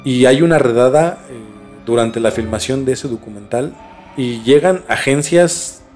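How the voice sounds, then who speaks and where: A man with a Mexican accent telling a story casually as a podcast host, informal and halting as he searches for words. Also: mid-range voice, a little mellow, faint music behind.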